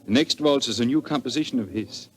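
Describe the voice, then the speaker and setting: An actor playing a suave, flowery Viennese count and police official in a formal, theatrical 1940s radio style. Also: male voice, medium pitch, fast talker, lightly acted. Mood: suave, genial. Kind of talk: 1940s radio drama acting. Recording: noisy vintage 1940s radio recording.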